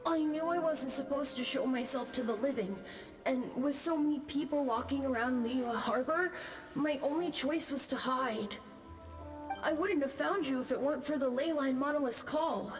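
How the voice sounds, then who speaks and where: Woman as a timid, high-voiced little ghost boy. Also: normal speed, over music, natural voice. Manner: hesitant, meek. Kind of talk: video game voice acting.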